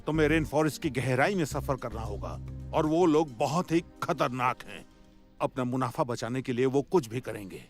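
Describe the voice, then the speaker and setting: A man voicing a middle-aged, jovial but stern police chief in a Hindi cartoon dub, expressive and authoritative. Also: medium pitch, moderate pace, natural voice. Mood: serious, warning. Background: music.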